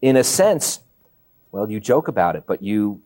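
A man's voice: on the low side, big pitch swings, moderate pace, warm tone, rough recording. A man hosting an interview show, conversationally quoting points and adding his own comments.

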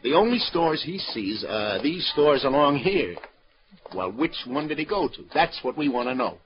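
An actor playing a terse, insistent police lieutenant questioning people in a 1940s radio noir drama. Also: male voice, on the high side, very animated, moderate pace. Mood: pressing, wry. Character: brisk, pressing, hardboiled New York police lieutenant. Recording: dull old radio recording, crowd behind.

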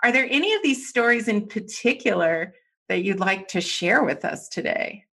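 A woman's voice: mid-range voice, expressive, normal speed, vocal fry, bright, forceful tone. A woman hosting an interview, asking questions in an encouraging, affirming way. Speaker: interviewer and host. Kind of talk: interview conversation.

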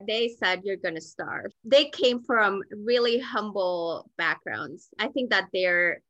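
A woman's voice: mid-range voice, expressive, slow pace, pushed and bright, no echo, dull audio. A woman from Mexico, a native Spanish speaker, telling personal stories as an interview guest in an informal, candid way. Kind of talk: conversational personal storytelling.

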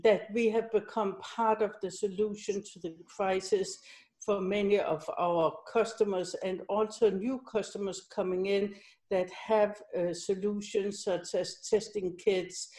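A woman's voice: mid-range voice, steady tone, measured pace, dark tone. A middle-aged woman from Denmark, answering interview questions conversationally as a company executive. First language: Danish.

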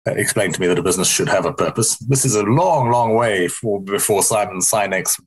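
A middle-aged man from South Africa with a softened South African-English accent, answering in an interview in a rambling, wry way that corrects itself. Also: fairly low pitch, brisk, husky. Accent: South African softened toward English. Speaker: interview guest, company founder.

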